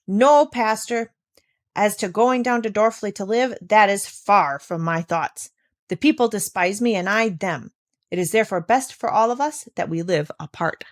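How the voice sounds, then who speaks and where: A woman's voice: medium pitch, moderate pace, lightly acted. A woman voicing a stubborn old mountain grandfather in a storybook, blunt and firmly determined. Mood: firm, bitter.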